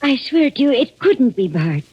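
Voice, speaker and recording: A woman's voice: high voice, very animated, normal speed, slightly rough, full character voice. An actress playing an anxious old housekeeper and protective mother, faltering and pleading, in 1940s radio drama style. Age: older. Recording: muffled old radio recording.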